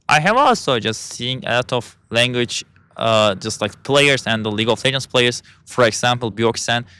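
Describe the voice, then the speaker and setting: Bright-voiced Turkish man, halting English. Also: medium pitch, clean tone, dry recording. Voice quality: halting, searching for English words. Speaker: vlogger. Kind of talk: casual monologue.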